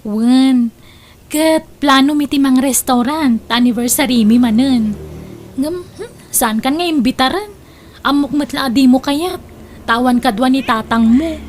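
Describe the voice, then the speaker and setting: A woman's voice: high-pitched, unhurried, a little hiss or hum. A Filipino woman playing a bossy, lazy young adult daughter in a radio drama, with a whiny, curt manner. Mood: chatty.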